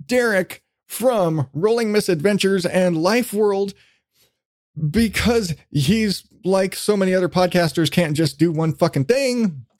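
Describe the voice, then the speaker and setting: A man's voice: high voice, darkish tone, no room sound, clean studio sound. A man hosting a podcast in a casual, rambling, sweary chat style. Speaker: podcast host.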